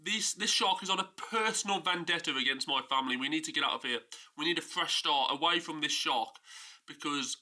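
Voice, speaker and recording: A woman's voice: deep voice, brisk, sharp tone. A man with a stuffy cold mimicking a frantic grieving mother who rants that a shark is hunting her family. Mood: ranting. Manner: ranting, frantic.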